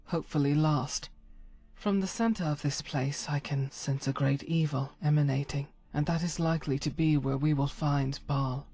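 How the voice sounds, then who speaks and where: A woman voicing a devout, weary female warrior of a holy order in a fantasy game, earnest and solemn, with a husky, breathy voice and halting formal phrasing. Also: low-pitched, dark tone, no echo. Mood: grave, resolute. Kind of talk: voice acting for fantasy game companion.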